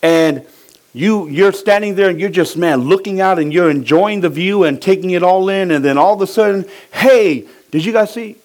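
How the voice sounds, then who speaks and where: A man's voice: high-pitched, moderate pace. A middle-aged man from Samoa, a pastor preaching a sermon, asking his congregation to finish his sentences. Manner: lively preaching, asks the congregation to fill in words.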